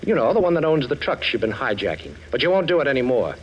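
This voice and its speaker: Male actor for cocky American radio sleuth, wisecracking, very animated delivery, vintage broadcast with light noise. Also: mid-range voice, fast talker, highs cut off, lightly acted. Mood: wisecracking, insistent. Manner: wisecracking, breezy, self-assured. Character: suave, cocky ex-thief turned amateur detective. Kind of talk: 1940s American radio acting. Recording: old radio recording, faint noise.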